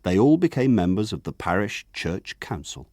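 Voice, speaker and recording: An actor playing a grown man who narrates his rough village boyhood in a measured, wry radio drama style. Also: fairly low pitch, very animated. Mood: wry, reflective. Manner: measured, wry, lyrical phrasing. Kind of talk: scripted radio drama narration, literary storytelling.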